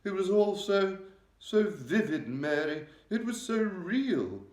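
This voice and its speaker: A man's voice: high-pitched, big pitch swings, slow pace, darkish tone, pitched above the performer's own voice, exaggerated voice. A man voicing an elderly queen. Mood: alarmed, regal. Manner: refined, upper-class, exclaiming. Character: elderly queen, regal, shaken by a nightmare. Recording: roomy echo.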